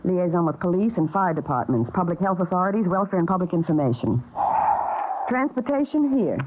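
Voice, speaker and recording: An actress playing a cool, businesslike female conspirator with a brisk manner in old radio drama style. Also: fairly low pitch, sing-song, loud music behind the voice. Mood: cool, scheming. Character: cool, businesslike female saboteur briefing her chief. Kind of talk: 1950s radio drama acting. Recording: scratchy old narrow-band radio recording.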